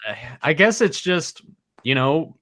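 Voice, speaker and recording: A man's voice: fairly high pitch, very animated, slow pace, bright, forceful tone. A man hosting a podcast in loose, informal chat, posing questions and swearing freely. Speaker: podcast host. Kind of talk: casual chat about movies.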